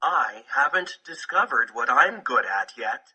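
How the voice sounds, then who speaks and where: A man's voice: mid-range voice, big pitch swings, a little slow, sharp tone, slightly rough, poor audio. A man narrating a children's picture book from a script. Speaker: audiobook narrator.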